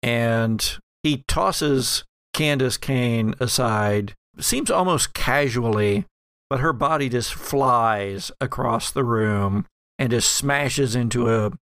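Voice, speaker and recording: A man running a horror tabletop game as game master, improvising narration in halting, deliberate phrases. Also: medium pitch, a bit bright, clear, resonant tone, no room sound, studio quality.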